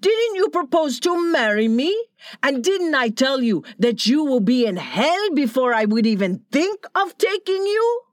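A woman's voice: lowish voice, sing-song, takes it slowly, no room sound, studio quality. A woman voicing a fierce, commanding female leader who curses with scorn in an audiobook story. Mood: furious. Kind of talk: audiobook character reading.

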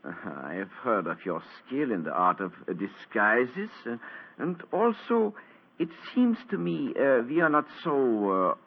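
An actor playing an injured German count who speaks with a German accent and many hesitations, in a 1940s radio drama. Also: male voice, medium pitch, big pitch swings, measured pace, slightly rough, heavily acted. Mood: weak, urgent. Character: injured German count, older man, bedridden diplomat. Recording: tinny lo-fi old radio broadcast.

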